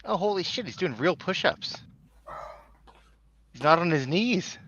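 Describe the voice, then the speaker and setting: A man joining a casual livestream as a guest, making blunt, quick remarks. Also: high-pitched, lively intonation, a bit bright, lo-fi sound, a little hiss or hum.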